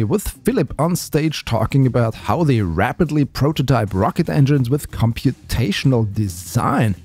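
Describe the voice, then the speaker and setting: A man narrating his space vlog with enthusiastic, lively storytelling. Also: on the high side, slightly rough. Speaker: space video creator.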